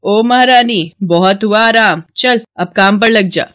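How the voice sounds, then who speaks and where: A woman voicing a scheming, bossy older mother-in-law in an animated moral story, with a commanding, scornful manner. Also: medium pitch, unhurried, noisy background, lightly acted. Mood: bossy, threatening.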